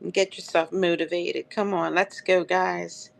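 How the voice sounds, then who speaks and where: Live-sale host, woman, dry crackly throat, chatty and upbeat, lowish voice. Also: little pitch movement, a little slow, vocal fry. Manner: chatty, upbeat, addresses viewers by name. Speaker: live-stream seller. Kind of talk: live auction selling chat.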